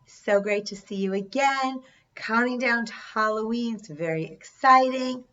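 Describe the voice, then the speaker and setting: A woman's voice: fairly high pitch, a little slow, fairly bright tone. A middle-aged woman who is losing her voice hosts her own channel and tells a true haunting story in a chatty, earnest way with small asides. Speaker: channel host. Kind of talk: storytelling vlog. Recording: distant, dull lo-fi sound.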